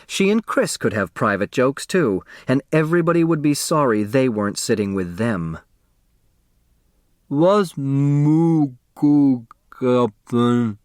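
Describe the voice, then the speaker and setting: A man narrates a novel in a mid-range voice. His scripted reading is expressive and follows the characters' thoughts, recorded very dry. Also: mid-range voice, moderate pace, hardly any pauses, no echo. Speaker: audiobook narrator.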